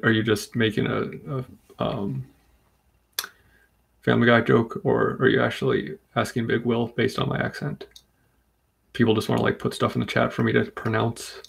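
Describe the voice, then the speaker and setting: A man from Rhode Island hosting a live comic book stream, chatting calmly and steadily while reading comments. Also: medium pitch, vocal fry, slightly rough. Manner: calm, steady, unhurried. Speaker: livestream host.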